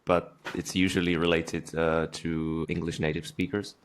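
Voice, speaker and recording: A man co-hosting a podcast who speaks in a measured, matter-of-fact way. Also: low-pitched, little pitch movement. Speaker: podcast co-host. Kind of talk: casual chat.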